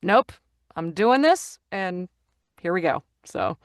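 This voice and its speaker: A middle-aged woman, a voice actor and audiobook narrator, chatting in an interview with lively enthusiasm and many restarts. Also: fairly low pitch, slow pace, dry recording, clean studio sound. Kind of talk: casual interview chat. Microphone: studio microphone.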